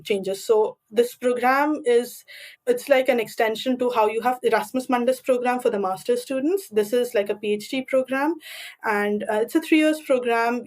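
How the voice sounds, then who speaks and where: A woman's voice: mid-range voice, a little mellow. A young woman from India with an Indian accent, speaking as an interview guest in an articulate, reflective and explanatory way. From Delhi, India. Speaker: podcast guest, doctoral researcher.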